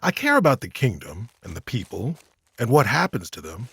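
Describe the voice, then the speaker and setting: Casting: seasoned fantasy hero, tired but kind, wry delivery, lively intonation, some rasping creak. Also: male voice, medium pitch, normal speed, no room sound, exaggerated voice. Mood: reflective. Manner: wry, candid, gently reassuring. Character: weary, kind, self-deprecating male monster-slaying hero. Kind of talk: audio drama acting.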